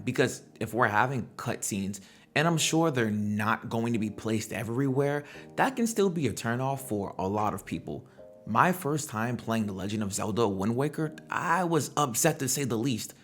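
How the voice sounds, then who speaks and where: A man hosting a gaming commentary video, talking in a conversational, slangy way and throwing out rhetorical questions. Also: medium pitch. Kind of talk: casual opinionated video commentary.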